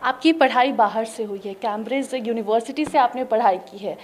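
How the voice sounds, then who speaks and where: A woman's voice: a little high, normal speed, slight background noise. A woman news interviewer who introduces the guest and asks direct, formal questions.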